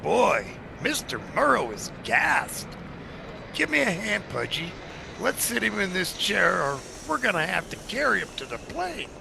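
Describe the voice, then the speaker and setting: A man playing a brash, teasing, excitable married wisecracker in 1940s-style radio comedy. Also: high-pitched, unhurried, creaky, background noise, heavily acted. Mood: urgent.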